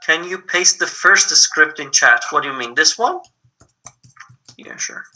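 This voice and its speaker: Man, persian accent, slow patient instructor, video call, bright voice. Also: on the high side, lively intonation. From Iran. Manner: patient, explains step by step with many ums. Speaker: lab instructor. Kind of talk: live online coding lab.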